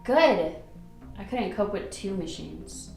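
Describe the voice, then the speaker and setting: A woman's voice: medium pitch, animated, takes it slowly, gravelly, darkish tone. Woman acting a frank doctor, echoey. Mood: questioning, wry. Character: frank, personable female doctor new to the job. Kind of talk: amateur radio play acting. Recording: echoey room, distant, faint music.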